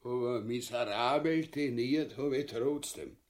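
Ein Schauspieler mit österreichischem Akzent spielt in einer grotesken Hörspielkomödie einen gefräßigen, feigen, vulgären Thronräuber, der derb und großspurig poltert. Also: male voice, medium pitch, unhurried, close to an everyday voice. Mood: grumbling, relieved. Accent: Austrian. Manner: ranting, crude, bombastic. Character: gluttonous cowardly vulgar usurper Father Ubu. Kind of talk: grotesque comedy radio play acting.

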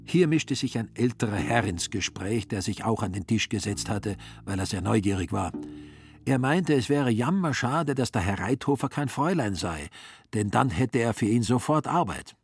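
A man's voice: medium pitch, lively intonation, normal speed. A man reads literary, satirical narration for a radio drama. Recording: music behind the voice. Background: music.

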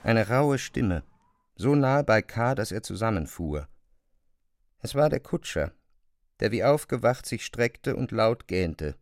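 A man narrating a literary audio drama with measured, precise phrasing. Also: lowish voice, animated, no room sound, studio quality.

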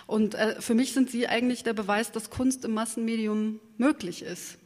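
A woman's voice: on the high side, fairly even intonation, normal speed, darkish tone. A woman moderating an interview, putting long, articulate, considered questions with some hesitation. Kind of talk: prepared interview questions, spoken live. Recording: long hall reverb.

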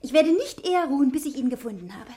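A woman's voice: sits high, expressive, quick pace, dark tone. A woman playing an anxious, devoted young wife in an old-fashioned radio drama, urgent and melodramatic. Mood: urgent, determined. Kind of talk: 1950s-style German radio drama acting.

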